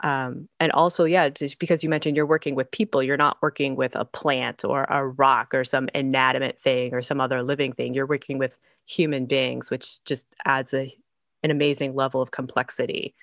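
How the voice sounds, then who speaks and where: A woman's voice: extremely low pitch, flat delivery, normal speed, vocal fry, fairly bright tone, no echo, clean studio sound. A woman interviewing a guest in a casual, conversational way.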